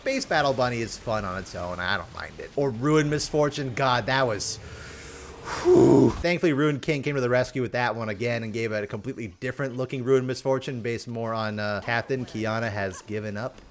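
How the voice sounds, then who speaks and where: A man's voice: medium pitch, brisk, a little hiss or hum. A man hosting a game review with casual, rambling gameplay commentary and sarcastic asides. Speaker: host of a game review series.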